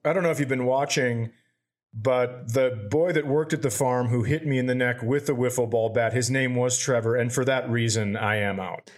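A man playing a rambling male investor judge who tells a slow, deadpan story. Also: mid-range voice, close to an everyday voice.

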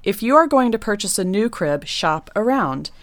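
A woman who designs nurseries, reading a prepared segment of tips in a clear, instructive way. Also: medium pitch, big pitch swings, normal speed, no room sound. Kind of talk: scripted segment read.

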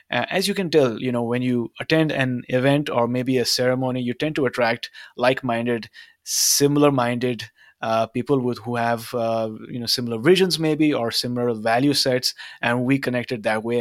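A man's voice: medium pitch, no echo, studio quality. A man hosting a podcast interview, upbeat and chatty with many fillers. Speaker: podcast host.

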